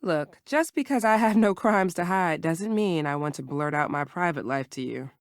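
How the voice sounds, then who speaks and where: A woman's voice: fairly low pitch, animated, moderate pace, dry recording, studio quality. An actress playing a firm, private, defensive woman in a video game. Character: firm, private woman who believes in potions.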